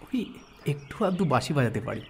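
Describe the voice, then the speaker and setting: A man's voice: a little high, very animated, moderate pace, a little hiss or hum. A man playing a shy, modest young flute player in an audio story, with a hesitant, humble manner. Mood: bashful, modest. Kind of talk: Bengali audio story character acting.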